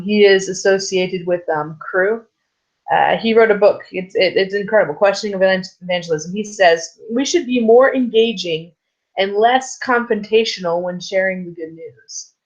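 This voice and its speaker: A woman teaching a recorded church lesson off the cuff, hoarse from a sore throat, with many fillers and restarts. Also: medium pitch. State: sore throat, losing her voice. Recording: slightly dull, lo-fi recording.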